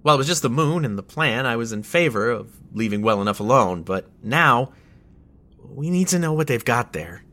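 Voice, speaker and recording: A forceful, bright male voice at medium pitch with animated intonation. An actor plays a wary, articulate rebel who loathes corporations, speaking formally and emphatically in an audio drama. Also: moderate pace, lightly acted. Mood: wary, determined.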